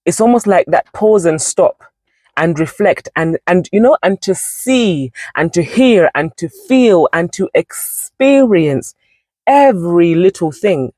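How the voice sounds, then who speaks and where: A woman's voice: fairly low pitch, expressive, measured pace, darkish tone, no echo. Chatty rambling woman in her forties, dry sound. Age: 40s. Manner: rambling, self-correcting, laughs at herself. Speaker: Bible study channel host. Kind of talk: casual devotional talk and scripture reading.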